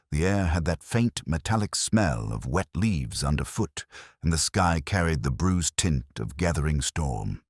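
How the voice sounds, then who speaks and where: A man narrating a scripted first-person detective story as a brooding, reflective investigator, in a measured, literary manner. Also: rumbling low, no echo, studio quality. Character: melancholy, reflective male police detective telling his own case.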